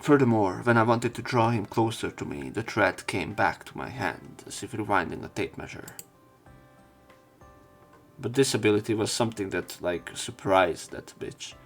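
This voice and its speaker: Let's play narrator, mid-range voice, halting read-aloud delivery of visual novel text, music underneath. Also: medium pitch. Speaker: let's play narrator reading translated lines. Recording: music behind the voice.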